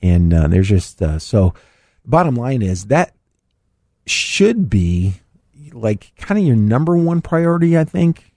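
A middle-aged man hosting a live call-in radio show from a studio, his voice slightly raspy and congested, talking in a rambling way with many fillers. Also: medium pitch, sing-song, takes it slowly, darkish tone, no room sound. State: raspy, a bit congested after illness. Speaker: radio show host, financial advisor.

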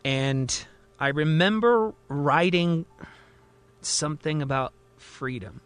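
A man acts out an agitated male patient in an audio drama who insists he is the president. His voice is fairly high and forceful. He speaks with earnest, desperate conviction. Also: lively intonation, slow pace, smooth. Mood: earnest, reflective. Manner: earnest, increasingly desperate and angry. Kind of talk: dramatized storytelling in a fiction podcast.

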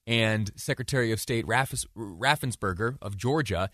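A man hosting a talk show, giving conversational news commentary in a deliberate way with rhetorical questions and emphatic repetition. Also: lowish voice, fairly bright tone, no room sound, clean studio sound.

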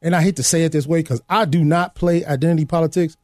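Emphatic male panelist, high-pitched, interrupts and repeats, dry close sound. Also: steady tone, fast, darkish tone, dry recording. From Illinois. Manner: emphatic, repeats himself, cuts in. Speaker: political talk show panelist. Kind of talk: casual political discussion.

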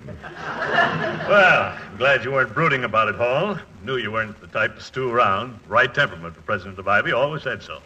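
Blustery old man, piercingly bright voice. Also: mid-range voice, lively intonation, moderate pace, highs cut off. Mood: hearty, brusque. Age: older. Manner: gruff, impatient, hearty. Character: blustery, crusty, jovial old college trustee. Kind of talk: 1940s radio comedy acting. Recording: faintly noisy old 1940s radio recording.

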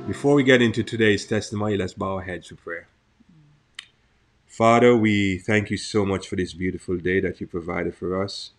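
A man's voice: deep voice, fairly even intonation, normal speed, soft background music. A man co-hosting a faith interview show, welcoming a guest and leading a prayer, with many hesitations and repeated welcomes.

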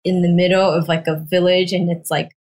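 Lower-pitched woman from Taiwan, chatting casually. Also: level tone, brisk, a little mellow. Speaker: interview guest, shop owner. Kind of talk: casual chat.

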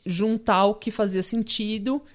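A woman's voice: mid-range voice, steady tone, measured pace. Chatty Brazilian woman telling a story. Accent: Brazilian Portuguese. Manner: chatty storyteller, laughs often, many fillers. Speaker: podcast host telling a true crime story. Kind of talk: casual chat.

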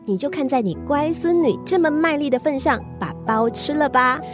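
A woman's voice: high-pitched, expressive, normal speed, music in the background. A woman playing a caring, cheeky young granddaughter in a radio drama, with a coaxing, pleading manner. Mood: playful, coaxing.

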